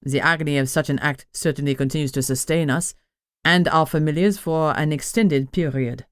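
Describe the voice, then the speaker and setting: A deep-voiced male witch leader with a thick French accent pontificates to his followers in a low, sinister drawl, as a woman puts him on. Every word drips with self-importance. The read is close-miked and completely dry. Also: normal speed, no echo, close to an everyday voice. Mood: pompous.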